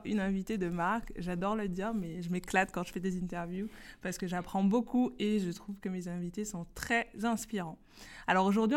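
A woman hosting a podcast interview, upbeat and playful, laughing often and firing off quick questions. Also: medium pitch. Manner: quick-fire quiz questions. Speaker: podcast host interviewing a guest. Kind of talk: casual interview chat.

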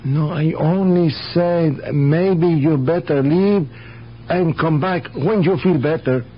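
Halting, anxious immigrant grocer. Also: male voice, fairly high pitch, slightly rough. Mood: anxious, defensive. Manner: halting, broken English, defensive. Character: meek, anxious immigrant grocer protesting his innocence. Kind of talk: radio drama acting. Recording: dull, hissy old radio broadcast with hum.

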